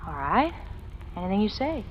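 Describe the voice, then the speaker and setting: Woman playing a noir sweetheart, very expressive swoops. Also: medium pitch, moderate pace, lightly acted. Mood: soothing. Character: crook's sultry, pleading, proud sweetheart in noir drama. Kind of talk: 1940s-50s radio noir acting. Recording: dull, noisy old radio recording.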